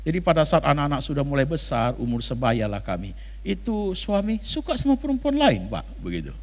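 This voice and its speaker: A man's voice: fairly high pitch, very animated, a little fast, pitched below the performer's own voice. Man voicing a bitter, long-suffering older wife, echoing hall. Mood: aggrieved. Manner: plaintive, confiding. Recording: reverberant hall sound.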